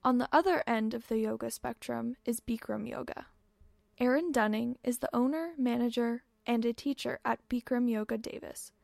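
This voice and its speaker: Young woman reporter, gravelly and dry. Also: fairly high pitch, moderate pace, darkish tone, no echo. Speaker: student news reporter. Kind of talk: scripted news narration.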